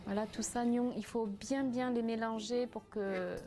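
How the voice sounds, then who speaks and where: A woman of Vietnamese origin giving a casual step-by-step cooking demonstration, pausing often with fillers as she explains. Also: a little high, steady tone, music in the background. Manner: hesitant, frequent "euh" fillers, step-by-step explaining. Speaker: cooking association cofounder demonstrating a recipe.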